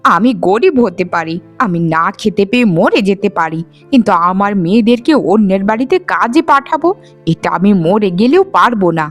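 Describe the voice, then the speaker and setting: A woman's voice: mid-range voice, animated, fairly quick, faint music behind, natural voice. A woman voicing a weary, middle-aged village mother in a cartoon, nagging and reproachful. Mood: scolding, weary. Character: weary, scolding poor widowed village mother of twins. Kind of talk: animated folk-tale cartoon voice acting.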